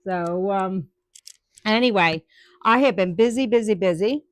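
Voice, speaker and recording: A woman's voice: mid-range voice, a little slow, no room sound, studio quality. A woman chatting live with viewers about her woodturning, rambling with lots of ums and restarts. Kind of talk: casual live-stream chat.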